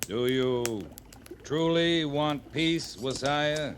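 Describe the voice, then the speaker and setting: An actor playing a steady, earnest US cavalry officer in a radio western, talking in a plainspoken, deliberate way. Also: male voice, on the high side. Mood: earnest, persuasive. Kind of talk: 1950s radio western acting. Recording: slightly noisy vintage radio recording.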